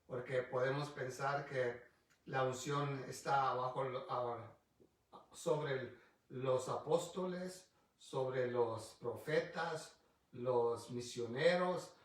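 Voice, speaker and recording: Male pastor, English native, halting unhurried Bible teaching, heard from afar in a booming echoey room. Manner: halting, restarts often, searches for words. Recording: distant mic in a very echoey room.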